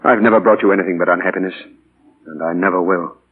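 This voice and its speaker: An anguished middle-aged playwright in a 1940s radio play. His low voice is very husky and breaks into halting, earnest repetitions. The old broadcast sounds thin and boxed-in. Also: steady tone, narrow, tinny audio. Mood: pained, halting. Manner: halting, earnest, repeats words in distress. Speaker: radio actor. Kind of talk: 1940s radio drama acting.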